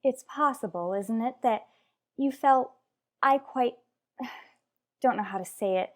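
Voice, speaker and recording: A troubled, protective mother in an old-time radio soap, acted by a woman. Her voice is high, with an earnest, wounded, questioning delivery. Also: slow. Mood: hurt, earnest.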